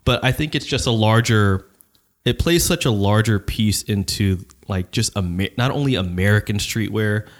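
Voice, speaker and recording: A man's voice: lowish voice, moderate pace. A man hosting a casual podcast, talking loosely and profanely with lots of restarts. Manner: loose, profane, animated talk with many restarts. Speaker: podcast host.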